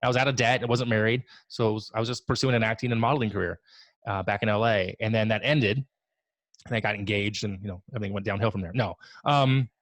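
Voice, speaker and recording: Relaxed rambling man in his forties. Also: on the low side, quick pace, no room sound, low-quality recording. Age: 40s. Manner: relaxed, rambling, self-deprecating. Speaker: guest. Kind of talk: casual video call interview. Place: home office.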